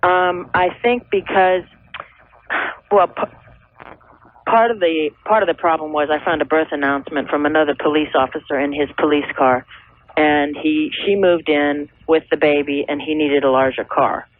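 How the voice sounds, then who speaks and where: A woman's voice: sits low, steady tone, normal speed. An American woman in her thirties speaks as a caller to a radio advice show. She explains her situation earnestly and a little hesitantly, in conversational phrases. The sound is a thin telephone line on an old radio tape. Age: 30s. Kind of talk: conversational personal account.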